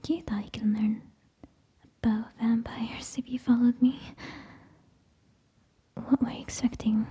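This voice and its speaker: A woman playing a haughty, teasing tsundere vampire in a scripted ASMR roleplay, whispering scornfully and deliberately, close to the microphone. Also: fairly high pitch, dark tone, breathy edge.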